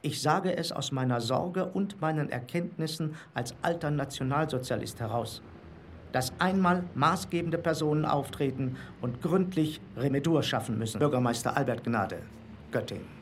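A man's voice: fairly high pitch, moderate pace, slight background noise, natural voice. An actor playing an aggrieved, indignant Nazi-era mayor reading his formal letter in a documentary radio play. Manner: formal, rhetorical, officious.

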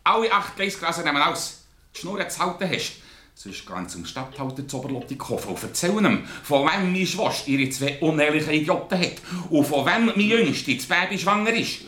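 Bitter greedy farm son, radio play, high sharp harsh voice, roomy. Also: male voice, very animated, moderate pace. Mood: accusing, bitter. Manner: harsh, contemptuous. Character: bitter, greedy, accusing grown son of village farmer.

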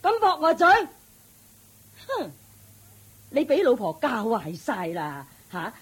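An actress playing a harsh, scolding elderly mother-in-law in a radio drama, with a husky, snapping, scornful voice. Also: on the high side, wildly swooping pitch, slow, exaggerated voice. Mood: furious. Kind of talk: Cantonese radio drama acting.

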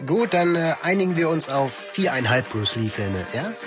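An actor playing a chatty, casual friend at a video night in scripted radio play dialogue. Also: male voice, a little high, sing-song, normal speed. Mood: casual, breezy. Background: loud music.

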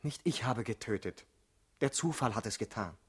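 An actor playing a troubled, doubting male political assassin in a German radio play, with a husky voice and a brooding, earnest delivery. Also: medium pitch, animated, normal speed. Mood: defensive, agitated.

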